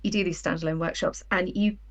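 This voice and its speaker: A woman's voice: fairly low pitch, steady tone, fast talker. A woman, talking as an interview guest in a conversational, enthusiastic, explanatory way. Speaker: guest, audio drama writer and producer.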